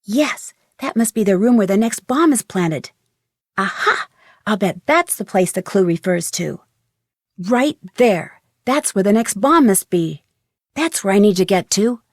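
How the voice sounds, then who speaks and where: A woman's voice: medium pitch, expressive, unhurried, no echo, studio quality. An actress in a video game playing a young female amateur sleuth, earnestly thinking aloud. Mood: determined, eager. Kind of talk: scripted video game voice acting.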